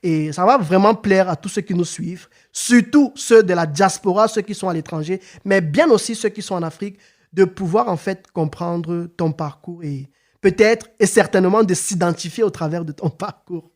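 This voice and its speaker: A man's voice: high voice, moderate pace. A man hosting a casual podcast interview, often stumbling over repeated words and filler phrases, now and then rising into an emphatic rallying tone. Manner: hesitant, repeats words and says "en fait" often. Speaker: podcast host.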